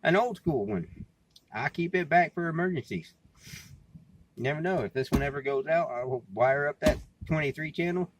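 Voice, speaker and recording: A man's voice: fairly high pitch, animated, normal speed. Folksy American man, noisy recording. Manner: folksy, plain-spoken, repeats phrases. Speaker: vlogger and CB radio hobbyist. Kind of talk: casual rambling talk to camera. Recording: clearly noisy background, amateur recording.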